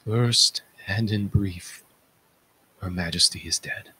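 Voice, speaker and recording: A man voicing a solemn palace attendant who recounts horrors in a declamatory stage-tragedy reading, with dramatic pauses. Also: low-pitched, sharp tone, breathy edge, exaggerated voice, rough recording. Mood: grave.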